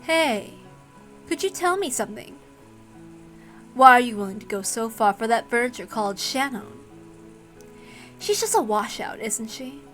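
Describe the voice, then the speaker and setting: A woman's voice: fairly high pitch, very animated, unhurried, pauses often, fairly bright tone, faint music behind, rough recording. A woman voicing a cruel, condescending demon examiner in a story reading, taunting with mocking, coaxing questions. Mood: sneering, taunting.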